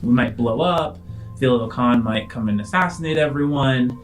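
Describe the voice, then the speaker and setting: A man hosts as game master in a chatty, informal way. His voice is mid-pitched, with faint music behind it. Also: normal speed. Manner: chatty, informal, addressing listeners directly. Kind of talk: casual podcast talk and tabletop game narration.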